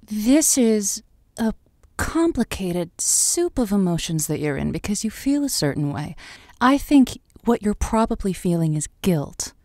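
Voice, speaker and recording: A woman playing an earnest, tactful advice host on a comedy call-in show, choosing florid words with halting pauses. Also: medium pitch, sing-song, a little mellow, no room sound, clean studio sound. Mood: sympathetic. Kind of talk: improvised radio advice, conversational.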